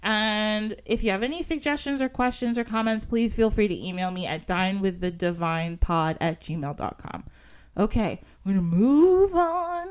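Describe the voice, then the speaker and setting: A woman's voice: mid-range voice, lively intonation, moderate pace, smooth. Chatty woman podcast host. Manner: chatty, filler-heavy, giggles often. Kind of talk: casual podcast chat and reading from an article.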